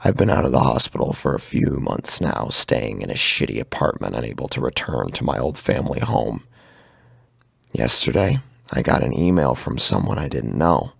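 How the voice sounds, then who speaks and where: A man acting an anguished, guilt-ridden victim narrating his own horror story in a gravelly, husky, halting voice. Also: a bass voice, sing-song, dry recording, clean studio sound, full character voice. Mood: bitter grief.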